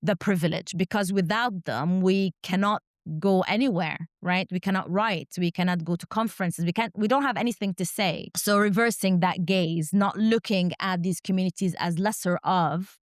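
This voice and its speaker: Academic guest: a low-pitched woman, Arabic first language, measured and reflective, dry close recording. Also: dry recording. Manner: measured, rhetorical "right?" tags.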